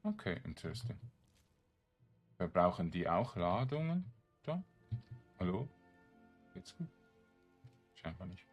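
A man with a low voice talks through a video game as a let's play host. His commentary is casual and comes in short, murmured remarks, as if to himself. Faint music plays behind him. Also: sing-song, takes it slowly, pauses often.

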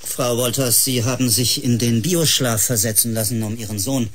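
An actor playing a courteous, placating professor who leads a space project, in a science-fiction radio drama. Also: male voice, medium pitch, fairly even intonation, slight background noise, close to an everyday voice. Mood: polite, placating. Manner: polite, measured, placating.

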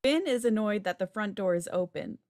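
A woman narrates stage directions from a script. Her voice is medium in pitch, with a dry sound free of echo. She reads for a table read held on a video call. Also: fairly quick, a little mellow. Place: home room on a video call.

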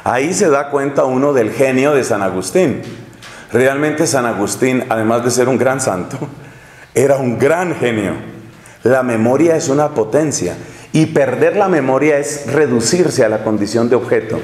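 A middle-aged man, a Dominican friar, giving a lecture-style sermon, gesturing and slowing down to stress key phrases. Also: mid-range voice, lively intonation, a little mellow. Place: large tiled hall with a projection screen. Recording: echoey hall.

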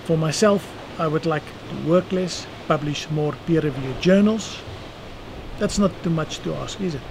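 Man, 60s, South African accent, fairly high voice, noisy outdoor terrace interview. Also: creaky. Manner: measured, dry self-deprecating humor. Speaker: eye surgeon guest. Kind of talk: seated interview answers. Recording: outdoor noise behind voice.

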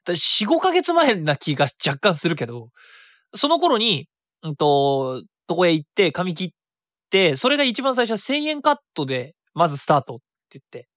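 Radio host, casual chatty monologue, polite with colloquial asides, animated intonation, dry sound. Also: medium pitch, normal speed, no echo. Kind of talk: casual solo radio talk.

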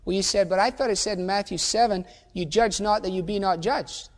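A man's voice: high voice, fairly quick, big-room sound. A man preaching a sermon as pastor, emphatic and full of rhetorical questions.

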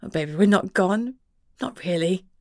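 A woman voicing a gentle, loving mother who speaks softly and soothingly to her child. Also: lowish voice, expressive, normal speed, dry recording, clean studio sound. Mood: tender. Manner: soft, soothing, tender. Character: tender, gentle mother, warm and reassuring.

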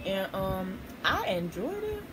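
A young woman chatting casually to camera as a vlog host, slangy and lively, while eating at a table in her living room. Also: medium pitch, slow pace. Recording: noisy, somewhat distant phone audio.

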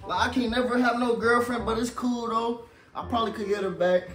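A man's voice: very high voice, fairly even intonation, fairly quick. A man with an American accent playing a bossy, exasperated older brother in a casual skit, slangy and scolding. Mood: exasperated venting. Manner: slangy, scolding, says bro constantly. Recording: echoey room with loud music.

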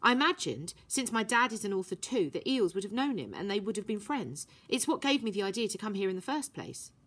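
A woman's voice: brisk, slightly rough. A woman voicing an earnest young runaway girl in an audiobook, breathless and emphatic. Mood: eager. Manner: breathless, emphatic, high and excitable.

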